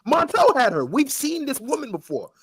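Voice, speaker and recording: A man's voice: very high voice, wildly swooping pitch, moderate pace, slight background noise. A man co-hosting a podcast, talking emphatically in casual chat, repeating himself and swearing. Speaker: podcast co-host.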